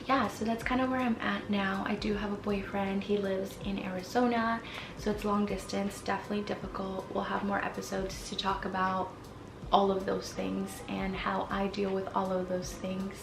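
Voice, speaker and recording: A woman's voice: medium pitch, flat delivery, normal speed, vocal fry, roomy sound, far from the mic, background noise. A woman in her twenties, born in Mexico with Spanish as her first language, chatting candidly to camera from her apartment, with lots of fillers. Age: 20s. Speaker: vlogger. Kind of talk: casual chat to camera.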